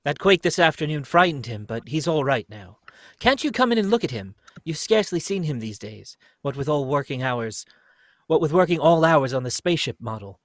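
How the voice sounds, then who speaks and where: A man's voice: fairly high pitch, big pitch swings, a little fast, no room sound, clean studio sound. A young man voicing a devoted, anxious wife and mother in a live radio play reading, earnest and melodramatic. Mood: gentle. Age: young adult. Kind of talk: live amateur reading of old radio script.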